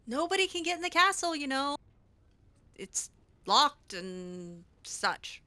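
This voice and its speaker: Bright-voiced, slow, sardonic woman gamer. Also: high-pitched. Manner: dry, sardonic, hesitant. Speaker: player role-playing a game heroine. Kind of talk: improvised in-character gameplay dialogue.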